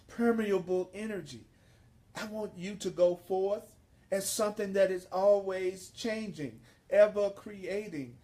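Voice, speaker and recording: A man giving an inspirational spiritual sermon, speaking emphatically and deliberately with repeated phrases. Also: high voice, warm tone. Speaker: spiritual teacher leading a course.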